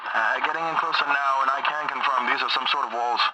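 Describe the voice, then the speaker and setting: An actor playing a puzzled excavation worker reporting haltingly over the radio in a sci-fi audio drama. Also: male voice, mid-range voice. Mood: uneasy, puzzled. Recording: very noisy, clicking radio transmission.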